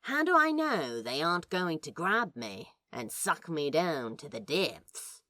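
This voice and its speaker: A woman's voice: deep voice, very animated, measured pace, sharp tone, no echo, heavily acted. A woman voicing a skeptical, awkward cool man in a fan fiction audio drama, wry, casual and a little hesitant.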